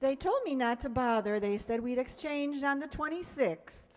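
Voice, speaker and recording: An actress as a wry schoolteacher heroine of a radio comedy, high-pitched and dryly sardonic. She turns her jokes on herself, and her voice carries through a reverberant hall. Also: animated, moderate pace. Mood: wry, breezy. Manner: dry wisecracking, sardonic. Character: wry, lonely, self-mocking single schoolteacher woman. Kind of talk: old-time radio comedy acting. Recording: reverberant hall stage recording.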